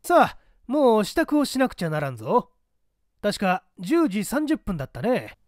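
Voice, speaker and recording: A pompous older bureau chief, acted out by a male reader, brisk and blustering in an old-fashioned gentlemanly way. The pitch is lifted and swings widely, in a dry storybook recording. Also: very animated, dry recording. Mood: brisk, impatient.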